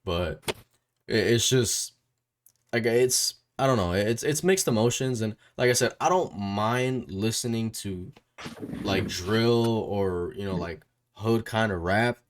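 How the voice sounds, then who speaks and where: Low-voiced man, rambling casual interviewer. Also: takes it slowly, slight background noise. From Puerto Rico or Puerto Rican family. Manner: rambling, repeats phrases, checks in with "right?". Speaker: interviewer and music reaction YouTuber.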